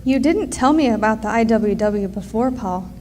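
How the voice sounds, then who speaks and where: A woman acts a prickly miner's wife in a radio play. Her voice is fairly high and her delivery curt and pointed. There is faint noise behind her. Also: lively intonation, moderate pace, darkish tone, natural voice. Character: sharp-tongued, suspicious miner's wife and mother. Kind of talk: live radio drama dialogue. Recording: faint noise, live stage recording.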